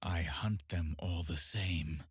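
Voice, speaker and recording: An actor playing a solemn, brooding masked swordsman who hunts demons, speaking gravely in measured game voice lines. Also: low voice, steady tone, dry recording, studio quality, full character voice. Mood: grave, resolute. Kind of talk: scripted video game character voice lines.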